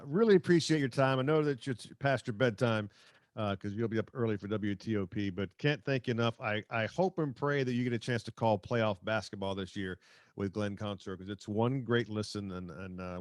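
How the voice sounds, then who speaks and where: A man's voice: mid-range voice, no room sound, studio quality. An American man hosting a casual sports podcast with wry, teasing banter. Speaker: podcast host.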